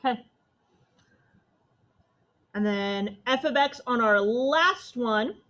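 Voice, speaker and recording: A young woman teacher with a loud, fairly high voice walks her class through a math lesson. She explains each step plainly and checks in with the students for questions. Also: slow pace.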